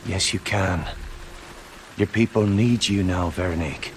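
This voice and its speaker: A blunt, slow-talking resistance fighter. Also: male voice, sits low, slight background noise. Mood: firm, tender. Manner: blunt, terse. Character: gruff, blunt Irish male resistance fighter, hardened and determined. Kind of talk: dramatic video game cutscene acting. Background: loud cinematic music.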